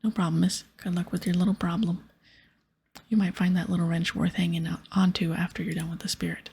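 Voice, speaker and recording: A woman voicing a cheeky, shifty male pirate who was once a priest, in a breathy whispered game reading. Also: on the low side, level tone, brisk, darkish tone, full character voice. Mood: sly. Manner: sly, offhand.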